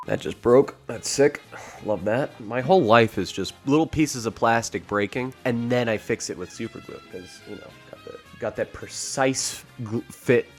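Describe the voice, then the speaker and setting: A young man casually recapping his fantasy football league, rambling and self-mocking, getting hyped over big plays. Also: medium pitch.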